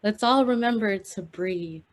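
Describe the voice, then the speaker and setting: Woman host, gentle and appreciative, introducing speakers, video call. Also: medium pitch, measured pace. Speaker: event host. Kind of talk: introducing performers.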